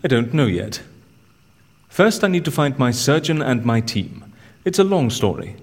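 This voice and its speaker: An actor playing a cheeky, scheming con artist in an audio drama, with a wry, persuasive way of talking. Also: male voice, mid-range voice, sing-song, measured pace. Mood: wry, confident.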